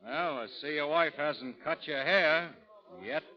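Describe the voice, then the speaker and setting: An actor playing a wry frontier army scout with folksy, teasing humor in a 1930s radio drama. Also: male voice, a little high, a little slow, close to an everyday voice. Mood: jovial, teasing. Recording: muffled vintage 1930s radio broadcast.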